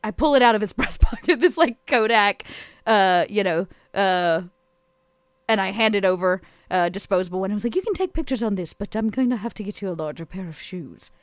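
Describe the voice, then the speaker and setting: A woman playing a tabletop roleplaying game, improvising dialogue and casual narration in a playful, animated way. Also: medium pitch, brisk, no echo, clean studio sound.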